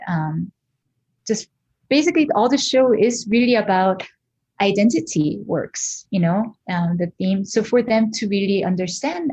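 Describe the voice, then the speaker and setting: A woman from South Korea with a Korean accent, speaking as a guest artist in an interview in a thoughtful, halting way with many fillers and restarts. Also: medium pitch, a little mellow, poor audio. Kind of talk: reflective interview talk.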